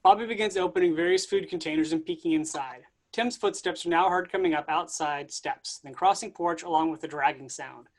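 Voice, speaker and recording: A man reading stage directions aloud in a staged play reading, steady and plain. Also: fairly high pitch, brisk, hardly any pauses.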